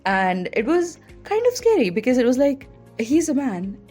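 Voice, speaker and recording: A young woman speaking with an Indian English accent tells stories in a loose, chatty way, with "like" scattered through her sentences. She talks as a podcast host, with soft music faintly underneath. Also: high voice, big pitch swings, normal speed. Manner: chatty, heavy use of "like" fillers. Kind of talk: casual personal storytelling.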